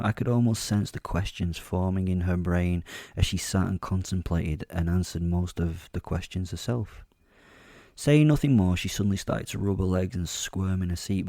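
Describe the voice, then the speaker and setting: A man narrates a dark audio drama in a very low register. His voice is soft-edged and mellow, recorded close and dry, with a measured storytelling delivery. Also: no echo. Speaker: narrator and voice actor. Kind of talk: first-person audio drama narration, horror fiction.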